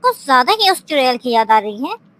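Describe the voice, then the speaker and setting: A woman playing a sharp-tongued, needling female relative in an animated moral story, with theatrical, pointed delivery. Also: high-pitched, brisk, a little hiss or hum, heavily acted. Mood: irritated.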